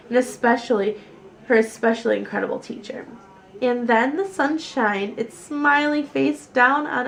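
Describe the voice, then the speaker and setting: High-voiced woman reading a children's story. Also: vocal fry, rough recording. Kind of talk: animated children's story read-aloud.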